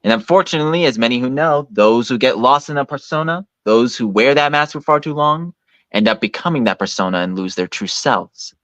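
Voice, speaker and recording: A man giving his opinion in conversation, measured and articulate with formal wording, on a lo-fi clip. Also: mid-range voice, lively intonation, a bit bright, no room sound. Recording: dull, lo-fi clip.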